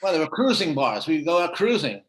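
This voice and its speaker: A man's voice: fairly high pitch, very animated. Hesitant American man, roomy echo. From United States. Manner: hesitant, many filler pauses. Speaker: host. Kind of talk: casual interview.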